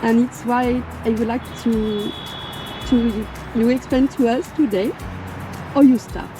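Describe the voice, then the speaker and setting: A woman hosting an interview as a non-native English speaker, with halting, non-idiomatic phrasing. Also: fairly high pitch, steady tone, poor audio. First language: not English. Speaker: host interviewer. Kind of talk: interview with prepared introduction. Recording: music behind the voice.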